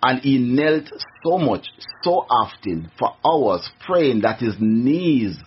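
A man's voice: medium pitch, sing-song, takes it slowly, slight background noise. A middle-aged man from Jamaica with a Jamaican accent, a radio guest telling his story earnestly, with many restarts. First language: Jamaican Patois. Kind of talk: casual interview, testimony. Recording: muffled radio recording.

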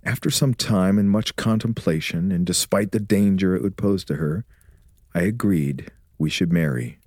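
A man playing a warm, teasing, scholarly husband and father in a radio drama, with a measured, preacherly way of explaining. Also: deep voice, dry recording, clean studio sound. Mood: earnest, instructive. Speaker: actor in an audio drama.

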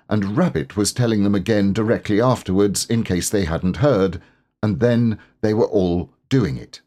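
A man reads a children's story aloud. His voice is fairly low and husky, and he takes his time, with expressive, varied intonation. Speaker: audiobook narrator.

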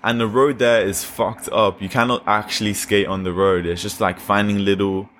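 A man's voice: lowish voice, steady tone, moderate pace, fairly bright tone, a little hiss or hum. A young man from Australia and Nigeria, a skater guest chatting loosely with slang and lots of fillers. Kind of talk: casual chat.

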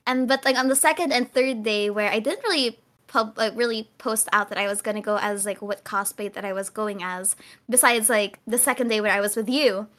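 A young woman from the Philippines, a guest content creator, telling stories in animated, laugh-filled casual chat. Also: on the high side, brisk. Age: young adult. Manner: animated storytelling, laughs often between words.

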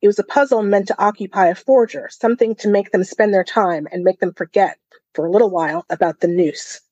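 A woman's voice: mid-range voice, fairly quick, dark tone, no echo, highs cut off. A woman co-hosting a podcast, casually discussing a book and reading passages aloud. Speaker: podcast co-host.

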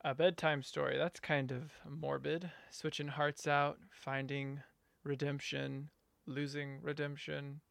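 A man's voice: a little high, level tone, slow, gravelly, a bit bright, no room sound. A man co-hosting a fiction podcast, talking casually and hesitantly with frequent fillers. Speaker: podcast co-host. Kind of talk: casual chat.

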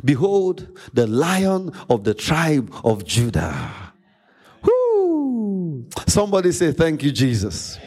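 Male pastor, Nigerian accent, Yoruba native. High-pitched, swooping delivery; emphatic sermon with repeated phrases and dramatic pauses. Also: wildly swooping pitch, a little mellow, husky. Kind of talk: preaching a sermon.

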